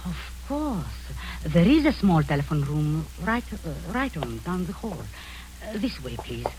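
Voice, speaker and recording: A scheming older lady in an old radio play coats every word in syrupy politeness, as an actress gives her voice. Her pitch rises and falls in a lively, sing-song way, with a faint hiss beneath the vintage recording. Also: a little slow, low-quality recording. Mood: sugary. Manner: genteel, honeyed, overly gracious. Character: scheming middle-aged woman, falsely sweet. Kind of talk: 1940s radio acting.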